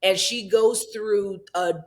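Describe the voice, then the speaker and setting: A woman's voice: mid-range voice, steady tone, takes it slowly. A woman hosting an interview, affirming her guest and asking long, rambling questions. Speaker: podcast host. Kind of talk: casual interview conversation.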